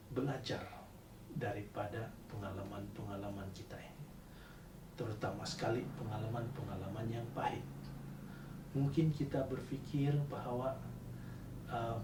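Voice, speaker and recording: Measured Sabahan man, distant echoey mic. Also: fairly low pitch. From Sabah, Malaysia. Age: 40s. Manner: measured, deliberate, pausing with "ah" fillers. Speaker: church youth and chaplaincy director. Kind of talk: devotional talk for a spiritual retreat. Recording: roomy, distant mic with background noise.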